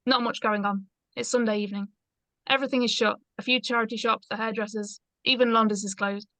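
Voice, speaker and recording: A woman's voice: on the high side, steady tone, fairly quick, fairly bright tone, no room sound. A woman reading stage directions aloud as scripted narration in a screenplay read-through.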